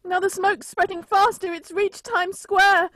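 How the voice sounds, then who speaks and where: A woman playing a grave, solemn radio reporter giving a deliberate eyewitness account in a radio drama. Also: extremely high pitch, flat delivery, pushed and bright, no echo, clean studio sound, exaggerated voice. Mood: mounting dread. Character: grave radio reporter narrating a city's destruction from a rooftop. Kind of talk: radio drama acting, live news report.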